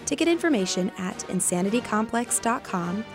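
A woman's voice: fairly high pitch, expressive, normal speed. A woman radio announcer reading a scripted community events notice. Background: music bed.

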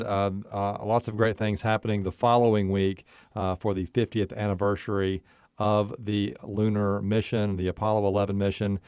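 A man with a fairly low voice hosts a local radio interview in a close, dry sound. His delivery is conversational, with frequent small hesitations between phrases. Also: a little mellow, clean tone, dry recording. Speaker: radio show host.

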